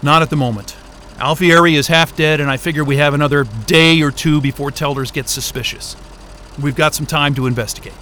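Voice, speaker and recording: An actor playing a wry, foul-mouthed, caring explorer in a naturalistic science fiction audio drama, talking casually with muttered hesitations. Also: male voice, a little high, bright, forceful tone, slight background noise. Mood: calm, determined.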